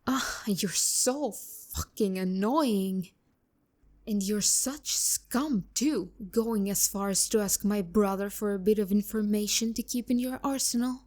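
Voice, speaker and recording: Casting: actress as taunting female demon for romantic ASMR roleplay, unhurried and dark-toned, sharp tongue, breathy whispered asides. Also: medium pitch, natural voice. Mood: flustered, annoyed. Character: haughty, teasing, flustered female demon, bitter romantic rival. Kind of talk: ASMR roleplay, intimate character acting.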